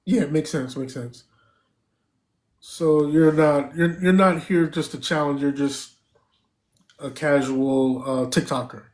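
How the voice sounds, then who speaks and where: A man's voice: a little high, fairly even intonation, takes it slowly, long pauses, a little mellow. A man hosting a live stream, chatting casually, thanking viewers and stopping often with fillers.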